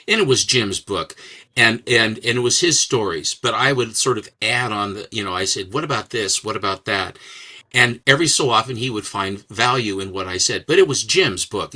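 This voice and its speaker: An older man talks about his past in a relaxed interview, often stopping and restarting his sentences. His voice sits low but sounds bright and forceful. He wanders from thought to thought in a casual, unhurried way. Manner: rambling, halting, with many restarts and fillers. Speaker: guest, comics writer and collector.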